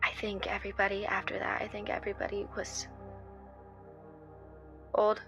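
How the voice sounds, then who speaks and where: A woman playing a fearful, shaken witness in an improvised audio drama, halting and repeating herself uneasily. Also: medium pitch, completely flat delivery, over music, lightly acted. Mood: scared, secretive. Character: shaken, fearful woman recounting a horrible incident.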